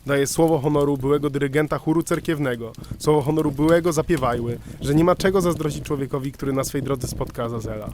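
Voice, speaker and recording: A man's voice: on the high side, quick pace, hardly any pauses, darkish tone, slight background noise, close to an everyday voice. Man as a florid, fawning devil's sidekick. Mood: fawning, jovial. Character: flattering, verbose, clownish devil's retainer, former choirmaster. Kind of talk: amateur radio drama acting.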